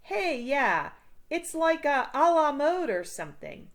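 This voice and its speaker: A woman's voice: high voice, sing-song, takes it slowly, pitched above the performer's own voice, full character voice. A woman voicing a brash, pushy, defensive schoolboy in a children's storybook. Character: brash, blaming schoolboy in a ball cap.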